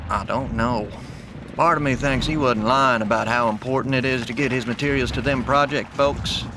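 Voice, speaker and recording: Audio drama: male actor as wounded doomsday prepper, folksy, terse and dry, medium pitch, noisy outdoor vehicle setting. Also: fairly even intonation, moderate pace, lightly acted. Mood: matter-of-fact. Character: gruff, laconic male survivalist metal worker, wounded.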